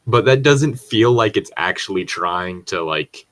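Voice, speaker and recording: A man's voice: low voice, animated, measured pace, fairly bright tone. A man chatting casually in a rambling way, swearing freely. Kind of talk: casual chat.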